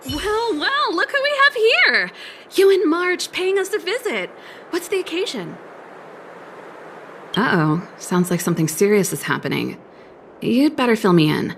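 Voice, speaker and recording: A woman's voice: high voice, sing-song, measured pace, long pauses, a bit bright, slight background noise, heavily acted. A woman voicing a laid-back, candid young scientist with playful confidence in a game. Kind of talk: game cutscene voice acting.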